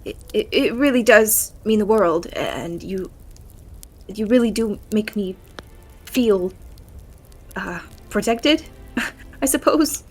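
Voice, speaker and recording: A woman's voice: medium pitch, lively intonation, slow, long pauses, slight background noise. A woman playing an awkward, anxious male warlock in a fantasy audio drama game, stammering and hesitant but earnest, with music behind. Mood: earnest, vulnerable.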